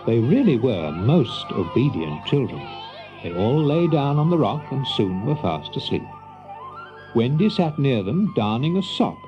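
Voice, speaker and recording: A man's voice: medium pitch, lo-fi sound. A man narrating a children's story in a dramatic storybook style. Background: music.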